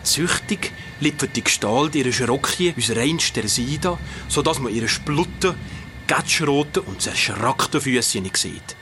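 A man narrating a dark mountain legend in an audio drama, solemn and dramatic. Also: mid-range voice, animated, unhurried, music in the background, natural voice. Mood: solemn, grave. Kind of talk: dramatic storytelling of a folk legend. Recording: clear background noise of ambience.

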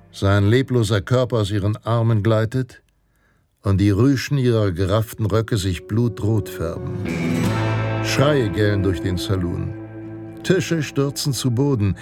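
An actor playing a solemn male narrator of a Western tale, telling it in a measured, dramatic radio-play style. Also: fairly low pitch, natural voice. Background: guitar music.

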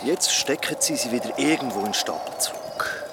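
Actor as animated young amateur magician over loud ambience. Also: male voice, mid-range voice, brisk, very noisy, close to an everyday voice. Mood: showy. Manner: showy mock-formal patter slipping into casual cursing. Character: playful young man, bumbling amateur magician. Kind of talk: audio drama acting in casual dialogue.

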